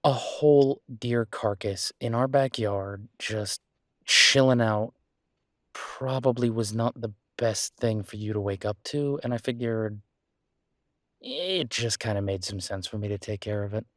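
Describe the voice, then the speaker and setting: Caring, laid-back boyfriend played by a man for intimate roleplay audio: slightly deep, conversational, soothing, very dry recording. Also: measured pace, no room sound, lightly acted. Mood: casual explaining. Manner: casual, hesitant, gently reassuring. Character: caring, easygoing human boyfriend of a werewolf, reassuring.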